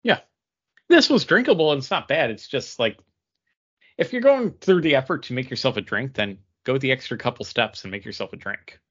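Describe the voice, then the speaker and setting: A man's voice: medium pitch, expressive, a little fast, a bit bright, muffled recording. A man co-hosting a podcast, chatting casually and explaining things in detail. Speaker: podcast co-host. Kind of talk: casual podcast chat about cocktails.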